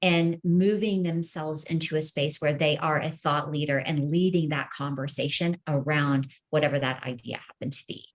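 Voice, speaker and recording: A middle-aged woman with a slightly low voice talks as an interview guest. She is earnest and thoughtful, reflecting on her own experience and ideas in a conversational way. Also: fairly even intonation, normal speed, hardly any pauses. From grew up in the Middle East. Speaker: podcast guest, entrepreneur and coach. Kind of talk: reflective personal storytelling in an interview.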